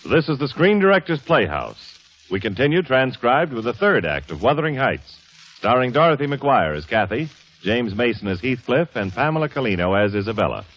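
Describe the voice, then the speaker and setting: Male announcer, period radio: emphatic scripted delivery, lively intonation, dull old broadcast sound. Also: mid-range voice, moderate pace. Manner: formal, emphatic.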